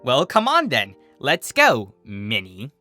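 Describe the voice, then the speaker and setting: An excitable young mare from a cartoon world, as a narrator acts her out. She is bright and forceful, swings widely in pitch, and teases with dramatic, joking exclamations. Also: takes it slowly, dry recording, faint music behind, exaggerated voice. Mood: eager. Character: excitable, teasing young female pony, playful joker.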